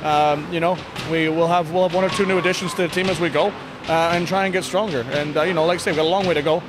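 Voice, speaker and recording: A man in his thirties speaks quickly in a fairly high voice, with clear venue noise behind him. He is a coach talking candidly after a game. His delivery is plain and halting, doubling back on words. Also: steady tone. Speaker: ice hockey bench coach giving a post-game interview. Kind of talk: post-game interview, casual and candid. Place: indoor sports hall, blue block walls. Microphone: handheld interview microphone. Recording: noisy venue with background ambience.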